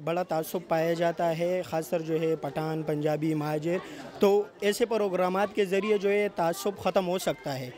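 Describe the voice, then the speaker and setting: A man's voice: fairly high pitch, steady tone, darkish tone. A man with a Pakistani accent giving his opinion in a street interview.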